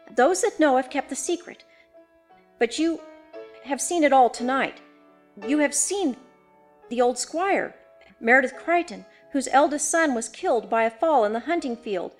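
Woman acting a high-voiced, anxious old-time housekeeper. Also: close to an everyday voice. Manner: exclamatory, folksy servant's speech. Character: ruddy older woman housekeeper, anxious, superstitious, deferential. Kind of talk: dramatised audiobook ghost story.

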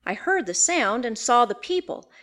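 Woman reading as a shaken, determined young gentlewoman. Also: fairly high pitch, sing-song, moderate pace. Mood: earnest. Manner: earnest, formal, precise. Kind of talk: dramatised audiobook ghost story.

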